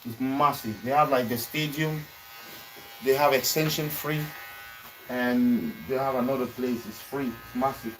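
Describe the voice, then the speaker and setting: A man chatting casually in a barbershop, telling lively stories and repeating words when he gets excited. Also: mid-range voice, measured pace, a little hiss or hum, rough recording. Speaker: barbershop podcast guest. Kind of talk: casual chat.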